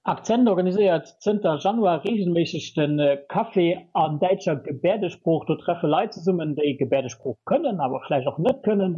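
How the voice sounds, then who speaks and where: A Luxembourgish man answers a radio interviewer and hesitates often, with many fillers. His voice sits a little high and sounds husky and mellow. The recording is dull and muffled. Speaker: guest from a communication center.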